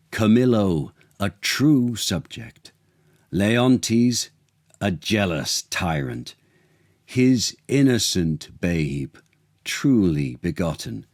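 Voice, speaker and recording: A man voicing a solemn court lord who proclaims in a measured, declamatory way for a children's storybook. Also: fairly low pitch, a little mellow, no room sound, clean studio sound, heavily acted.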